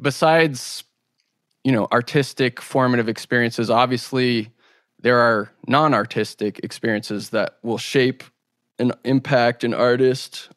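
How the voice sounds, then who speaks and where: A man's voice: medium pitch, steady tone, a little slow, a bit bright, dry recording, clean studio sound. A man hosting a podcast interview, speaking conversationally in a thoughtful, articulate way.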